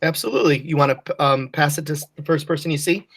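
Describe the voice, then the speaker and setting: A woman's voice: low-pitched, fast talker, vocal fry, husky. A woman teacher leading an online class, speaking patiently and encouragingly as she prompts and praises her pupils. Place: online class video call. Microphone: video call.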